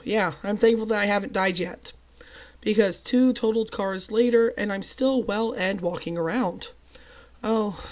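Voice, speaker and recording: A young adult host telling a personal story in a chatty, self-deprecating way, voice still a little off from a cold. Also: medium pitch. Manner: chatty and self-deprecating, with lively emphatic bursts. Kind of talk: storytime narration.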